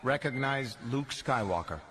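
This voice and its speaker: An actor playing a calm, earnest, heroic Jedi master with a measured, assured manner in a sci-fi audio drama. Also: male voice, mid-range voice, noisy background, lightly acted.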